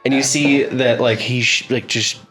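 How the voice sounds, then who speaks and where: A man's voice: medium pitch, steady tone, normal speed, music in the background, rough recording. A man playing a tabletop roleplaying game, moving casually between in-character talk and narration.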